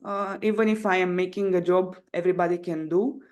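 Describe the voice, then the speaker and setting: A woman's voice: on the low side, steady tone, brisk, a little mellow, muffled recording. Young Romanian-accented woman, hesitant. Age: 20s. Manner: hesitant, searching for words in non-native English. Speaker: podcast guest. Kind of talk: casual interview answers.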